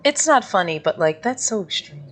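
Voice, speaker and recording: A woman's voice: on the low side, sing-song, moderate pace, a little hiss or hum. A woman reacting casually to a game, speaking informally as a streamer. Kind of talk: casual chat.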